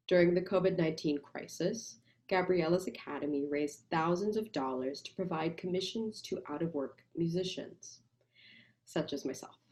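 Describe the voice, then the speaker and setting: A young adult woman, a mezzo-soprano doctoral student, giving a formal lecture in a measured, presenting manner. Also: sits low, warm tone. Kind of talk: lecture recital narration.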